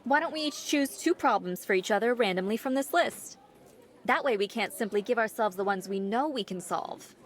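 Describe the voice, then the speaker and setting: A woman's voice: fairly high pitch, expressive, normal speed, close to an everyday voice. A woman voicing a prim, refined rich schoolgirl in a visual novel, speaking formally and courteously with careful wording. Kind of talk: visual novel dub acting.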